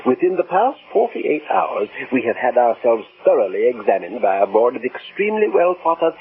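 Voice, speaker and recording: A man's voice: mid-range voice, very animated, moderate pace. A man playing an elderly, courtly, fanatical former professor in an old-time radio drama, speaking formally and pompously. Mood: polite, resolute. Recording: noisy, narrow-band vintage radio recording.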